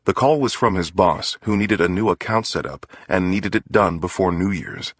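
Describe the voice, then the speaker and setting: A man narrates a crime story in a very low-pitched voice, recorded close and dry. The delivery is scripted audiobook storytelling, with a slightly rough, husky edge. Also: moderate pace, fairly bright tone, dry recording.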